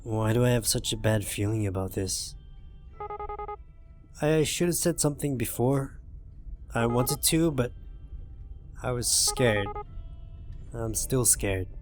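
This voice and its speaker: A man's voice: on the low side, takes it slowly, long pauses. Man playing a weary, brooding survivor over music. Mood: grim, scared. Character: weary, frightened male driver in a zombie apocalypse. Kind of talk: dramatic visual novel reading, first-person narration. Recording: music behind the voice.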